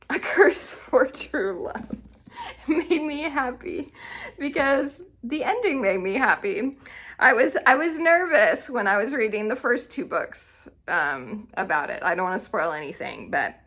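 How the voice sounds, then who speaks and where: A woman's voice: medium pitch, sharp tone. A woman talking informally as a vlogger, in a casual, chatty style, thinking aloud as she goes. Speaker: book vlogger. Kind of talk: casual, chatty book tag video.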